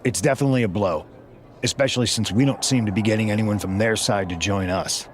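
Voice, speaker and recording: An actor as lowish-voiced, serious male wrestler. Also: quick pace, lightly acted. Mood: concerned, matter-of-fact. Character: serious, pragmatic male wrestler delivering team updates. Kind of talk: video game cutscene dialogue.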